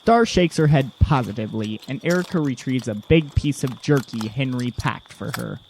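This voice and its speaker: A man's voice: mid-range voice, lively intonation, moderate pace, darkish tone, a little hiss or hum. A man reading scripted narration for an audio drama in the present tense.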